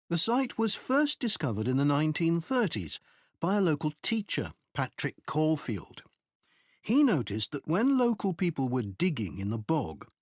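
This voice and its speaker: A man giving a scripted academic presentation in a measured, explanatory way. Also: fairly high pitch, no echo, studio quality. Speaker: presenter in a recorded exam listening test.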